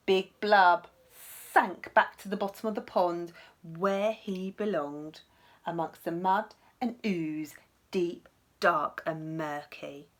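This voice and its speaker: A woman teacher reading a storybook aloud to young children in an expressive, dramatic way, with asides to the listeners. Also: lowish voice, takes it slowly.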